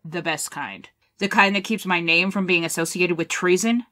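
A woman's voice: on the low side, normal speed, noisy background, lightly acted. A woman playing an honourable military colonel in an audio drama, speaking with formal, firm authority. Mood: earnest, urgent.

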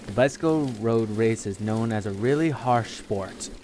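A man's voice: fairly low pitch, moderate pace, slight background noise. Young man reading a game aloud. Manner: reads text in a flat steady voice, breaks into laughter. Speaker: let's play host. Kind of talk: casual gameplay chat, reading game text aloud.

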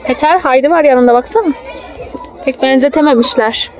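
A woman's voice: sits high, fairly quick. A young woman chatting casually with her small child, affectionate and coaxing, as she films outdoors on a phone. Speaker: mother filming her child. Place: outdoors in a theme park. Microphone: handheld phone, behind the camera. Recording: noisy outdoor phone video.

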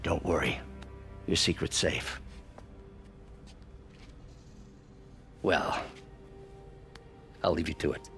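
An actor playing a kindly, perceptive older scientist and mentor in a video game, speaking in a gently knowing, reassuring way. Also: male voice, a bass voice, measured pace, vocal fry, faint music behind, full character voice. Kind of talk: cinematic video game acting.

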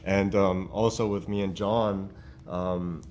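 A man from Germany with a very deep voice answers interview questions thoughtfully, filling pauses with ums. He sits in a studio for a press interview, with a faint rumble underneath. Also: steady tone, a little mellow. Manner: reflective, hesitant with ums. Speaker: actor interviewee. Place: studio set.

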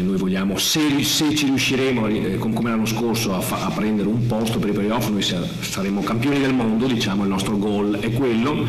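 A middle-aged man speaking as a basketball coach after a game, in a slightly low voice. He breaks down the match in a measured, analytical way, restarting words as he goes. He sits at a table mic in a press room that rings with echo, with a faint hum of ambience behind him. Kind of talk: post-game press conference. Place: press room in front of a sponsor wall.

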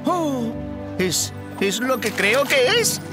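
An actor playing a pompous, eccentric old monster hunter in a cartoon, with a Castilian Spanish accent and theatrical delivery. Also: male voice, very high voice, animated, takes it slowly, music in the background, exaggerated voice. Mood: bewildered, boastful. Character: pompous, eccentric old man hunting supernatural creatures. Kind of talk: animated cartoon dubbing.

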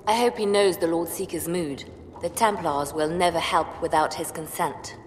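An actress playing a shrewd, composed spymaster advisor in a video game, speaking in a measured, firm and persuasive way. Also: female voice, on the low side, in a large hall, a little hiss or hum, lightly acted. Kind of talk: dramatic video game dialogue.

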